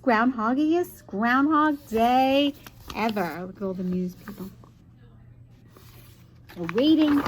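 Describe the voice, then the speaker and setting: A woman's voice: fairly high pitch, a little hiss or hum, poor audio. A woman teacher reading a children's picture book aloud expressively, with chatty asides to young listeners.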